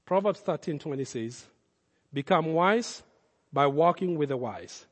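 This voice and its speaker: Kenyan-accented pastor preaching with passion. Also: male voice, animated, a little slow, big-room sound. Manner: impassioned preaching, rising loud then dropping low and intimate. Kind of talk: sermon.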